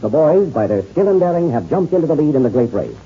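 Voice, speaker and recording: A male announcer delivers dramatic scripted narration for an old radio adventure serial. His voice has a rough, husky edge, and the vintage recording sounds dull. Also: on the high side, very animated, fast.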